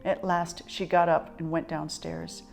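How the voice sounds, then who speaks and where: Low-pitched woman reading a children's story. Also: little pitch movement, a little slow, creaky, soft background music.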